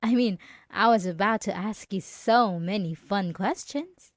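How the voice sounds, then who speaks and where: Woman playing a slow-talking, possessive schoolgirl bully. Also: mid-range voice, dry recording, studio quality, natural voice. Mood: falsely friendly, prying. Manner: taunting, sarcastic, switching to sugary false politeness. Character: possessive, jealous schoolgirl bully, mocking and threatening. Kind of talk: roleplay audio drama spoken to the listener.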